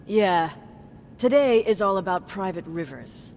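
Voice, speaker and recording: Stern sarcastic army commander lady, video game drama, faint engine rumble behind. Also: lively intonation, unhurried, darkish tone. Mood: cold sarcasm. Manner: sardonic, intimidating. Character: stern, sarcastic, contemptuous female resistance field commander.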